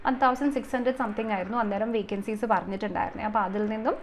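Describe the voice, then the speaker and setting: A woman's voice: medium pitch, very fast, slight background noise. A woman giving an explanatory exam coaching lesson, mixing in many English terms and numbers. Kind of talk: explanatory video lesson, semi-formal.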